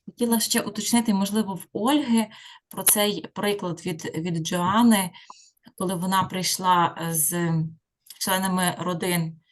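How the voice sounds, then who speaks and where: A woman's voice: mid-range voice, fairly even intonation, moderate pace. A woman participant asking a spontaneous question in a careful, hesitant way.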